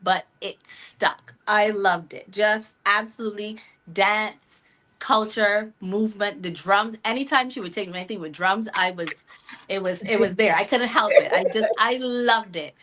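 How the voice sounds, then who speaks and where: A woman born in Philadelphia and raised in Barbados, with an American accent that carries a Barbadian lilt, telling stories animatedly as an interview guest on a video call. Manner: animated, reenacts her own reactions with a high voice. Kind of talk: casual interview storytelling. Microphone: video call mic.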